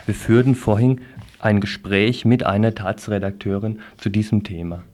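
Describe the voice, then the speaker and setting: Male news moderator, lowish and very even, informal live delivery with hesitations. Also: normal speed. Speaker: radio news presenter.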